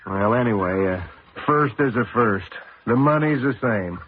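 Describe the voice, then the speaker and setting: A man's voice: mid-range voice, lively intonation, a little slow, lightly acted. An actor playing a cocky but good-natured young race driver, husband and father, in a radio drama. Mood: wry, exasperated. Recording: noisy, tinny old radio broadcast.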